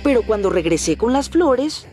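A cheerful young male steam engine in a Latin American cartoon dub. The voice is high, earnest and polite, always keen to help. Music plays behind it. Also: big pitch swings, normal speed. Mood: cheerful, busy. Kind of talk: animated children's series dub.

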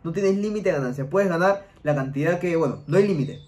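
A man's voice: on the high side, brisk, a little mellow, dull audio. A young man hosting a screen-recorded tutorial from a room at home, talking straight to the viewer with repetitive, step-by-step directions. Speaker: online money-making YouTuber. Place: home room, gaming chair.

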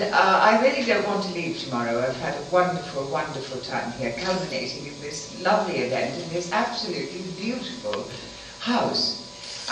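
An older woman, Welsh her first tongue, addresses a seated crowd with a lively, rising and falling delivery. She is heard from well back in a boomy room with a faint hiss underneath. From Wales. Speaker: guest speaker. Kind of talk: formal speech to an audience. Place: small hall with seated audience. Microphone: far camera, no mic. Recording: echoey room from far off.

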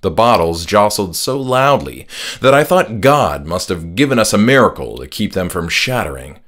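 Low-pitched man narrating horror fiction. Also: big pitch swings, normal speed, fairly bright tone. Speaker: author and audiobook narrator. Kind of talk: scripted first-person horror narration.

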